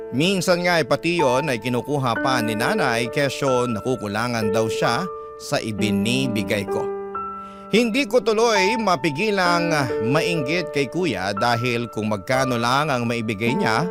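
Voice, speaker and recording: Man, Filipino accent, dramatic radio storytelling, mid-range voice, prominent background music. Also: medium pitch. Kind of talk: dramatic first-person letter narration.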